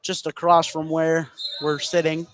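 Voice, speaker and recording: A man's voice: fairly high pitch, flat delivery, a little slow, a bit bright. A young man calling live basketball play-by-play for radio. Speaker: play-by-play sports announcer. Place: courtside in a high school gym. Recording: noisy gym ambience behind voice. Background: faint gym noise.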